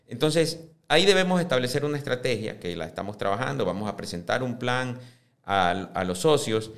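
A man in his fifties answering interview questions on a podcast, speaking in a measured way that often restarts and repeats words as he builds his points. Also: medium pitch, lively intonation. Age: 50s. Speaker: club presidential candidate.

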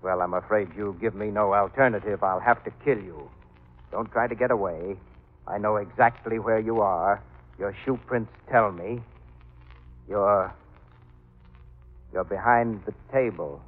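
An actor playing a cornered male poisoner in a 1940s radio drama, with a melodramatic, excitable villain's delivery. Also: lowish voice, a little slow, pauses often. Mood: sinister, uncertain. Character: cornered male poisoner, smug turning panicked. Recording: tinny, narrow old radio recording.

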